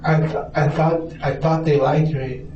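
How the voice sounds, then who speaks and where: Male American interview suspect, hesitant and repetitive, flat delivery, picked up by a distant mic in a roomy space. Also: a little high, a little slow. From Arizona, United States. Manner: hesitant, stammering, repeating words. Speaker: suspect being questioned by police. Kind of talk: police interview answers. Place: police interview room. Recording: distant roomy mic, dull audio, faint noise.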